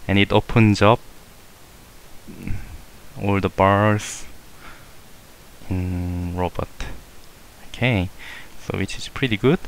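A man walking through a structural design software workflow in a screen-recorded tutorial, unhurried and hesitant, with many fillers and self-corrections. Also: on the low side, clear, resonant tone, rough recording. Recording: faint hiss.

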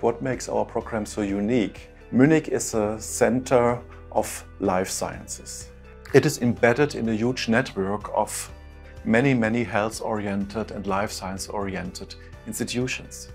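A man in his sixties, a professor, explaining his program in a measured promotional interview on a lapel mic. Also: on the low side, gravelly, faint music behind. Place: indoor studio, plain backdrop.

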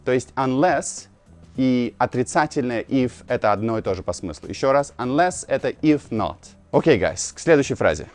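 A man in his thirties, a native Russian speaker, giving an informal English lesson to camera outdoors and slowly repeating key phrases. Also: medium pitch, lively intonation, soft background music. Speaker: English teacher, video host. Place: outdoors on a narrow old-town street. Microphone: lapel mic.

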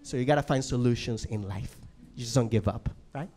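A man in his thirties from Venezuela doing an animated stand-up set on a handheld mic. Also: mid-range voice, a little fast. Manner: animated, chatty, asks the crowd "right?". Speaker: stand-up comedian. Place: comedy club stage, indoors.

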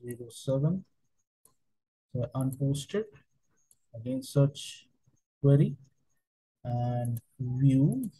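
Male software trainer, mid-pitched and dark-toned, halting step-by-step tutorial delivery. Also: lively intonation, breathy edge, rough recording.

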